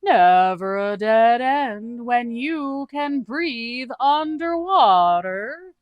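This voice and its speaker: A narrator putting on a loud, reckless adventurer. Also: mid-range voice, animated, dry recording, muffled recording, pitched above the performer's own voice. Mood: eager, loud. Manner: drawn-out, loud delivery.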